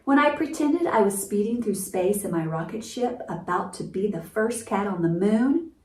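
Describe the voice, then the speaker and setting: A woman voicing a young male cat from a picture book, earnest and grateful, with an expressive, deliberate read-aloud delivery for children. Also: medium pitch, lightly acted. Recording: roomy, distant mic, faint noise.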